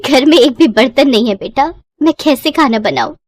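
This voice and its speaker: A woman voicing a poor young village mother, tender but weary, in an expressive cartoon-story manner. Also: high voice, normal speed, no room sound, clean studio sound. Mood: troubled. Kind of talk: Hindi moral-story cartoon dialogue.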